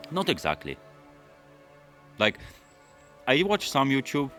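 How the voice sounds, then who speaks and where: A man who grew up in London, speaking Russian as his first language, answering viewer questions in a relaxed, rambling casual chat. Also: mid-range voice, takes it slowly, soft background music. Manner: relaxed, rambling, with frequent fillers and restarts. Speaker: automotive YouTuber.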